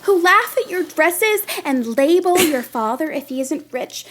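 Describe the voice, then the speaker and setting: A woman's voice: high voice, lively intonation, unhurried, a bit bright, slight background noise. A woman playing a vain, prissy young schoolgirl in a period audio drama, affected, haughty and petulant. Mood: complaining. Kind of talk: period radio-play acting.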